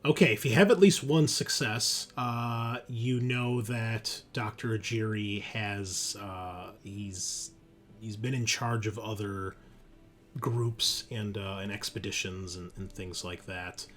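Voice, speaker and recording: A man narrating a tabletop roleplaying game as game master, in an informal, halting way. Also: fairly low pitch. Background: music.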